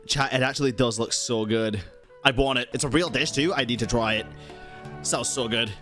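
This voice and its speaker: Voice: mid-range voice, normal speed, fairly bright tone, faint music behind. A game streamer giving casual, slangy live commentary with wry asides.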